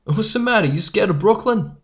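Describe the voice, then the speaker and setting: Brash young newsboy leader, played by a woman, lively swooping delivery, streetwise. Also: mid-range voice, a little fast, natural voice. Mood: rallying. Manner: brash, rallying, street slang. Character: cocky young male newsboy strike leader. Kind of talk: amateur audio drama acting.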